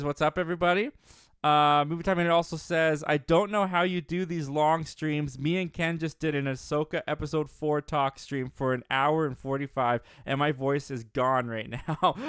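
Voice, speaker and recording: A man's voice: a little high, steady tone, normal speed, fairly bright tone, clean tone, no room sound, clean studio sound. A young man hosting a live movie stream, reading viewer comments aloud in an upbeat, rambling way with many restarts. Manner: upbeat, rambling, frequent restarts and self-corrections.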